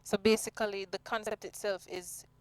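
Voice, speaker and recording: A woman's voice: medium pitch, level tone, moderate pace, smooth, rough recording. A woman with a Jamaican accent, talking earnestly and expansively as a singer being interviewed. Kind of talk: conversational radio interview.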